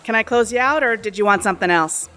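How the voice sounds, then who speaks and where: A woman playing a brisk, attentive bar server in an audio drama. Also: a little high, fairly bright tone, faint music behind, close to an everyday voice. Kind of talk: audio theatre acting.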